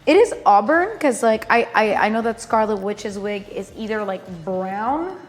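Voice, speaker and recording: A woman's voice: medium pitch, lively intonation, normal speed, soft background music. A young woman chatting casually and playfully with guests as she hosts a live stream, using plenty of slang. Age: young adult. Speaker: live cosplay panel host. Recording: echoey room, long reverb.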